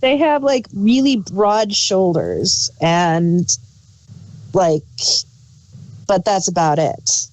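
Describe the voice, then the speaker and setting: A woman's voice: medium pitch, lively intonation, very slow, darkish tone, poor audio. A woman co-hosting a casual chat in an informal, offhand way. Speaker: podcast co-host.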